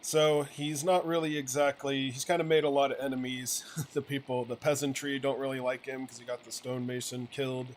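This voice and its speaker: A male game streamer recaps a story in a mid-pitched voice, rambling and hesitant, often trailing off as he thinks aloud. Clear outdoor game ambience sits behind him. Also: level tone, brisk, few pauses, slight background noise. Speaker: gaming channel host. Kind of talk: casual, rambling story recap over gameplay.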